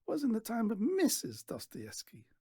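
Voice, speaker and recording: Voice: mid-range voice, huge pitch swings, moderate pace, a little mellow, slightly rough, no echo, studio quality, voice pushed up. A storyteller voicing a rueful, kindly fairground showman father in a plain, folksy, resigned manner.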